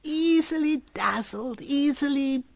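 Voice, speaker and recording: An actress playing a gentle, shrewd elderly grandmother in a period radio drama, speaking in a tender, reflective and knowing manner. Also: high-pitched, takes it slowly, gravelly, a little hiss or hum, exaggerated voice. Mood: knowing, concerned. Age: older.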